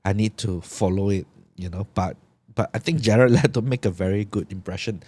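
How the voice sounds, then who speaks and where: Man, podcast co-host, relaxed, halting, dry close sound. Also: lowish voice, slightly rough, no echo. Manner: laid-back, searching for words, laughs often. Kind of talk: casual chat.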